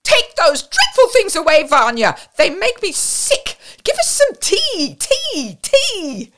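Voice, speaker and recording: A woman narrator voicing a shrill, determined, bossy woman in an audiobook. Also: huge pitch swings, slow, fairly bright tone, exaggerated voice. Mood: exasperated, demanding.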